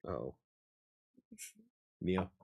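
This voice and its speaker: A man hosting a casual after-hours chat show, rambling, laughing often and swearing now and then, with a slightly raspy voice. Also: extremely slow, warm tone, dry recording. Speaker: host.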